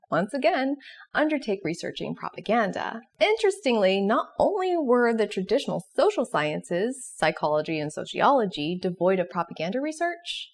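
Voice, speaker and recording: Creaky-voiced woman narrating an explainer. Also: medium pitch. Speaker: presenter of an educational video series. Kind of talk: scripted educational narration.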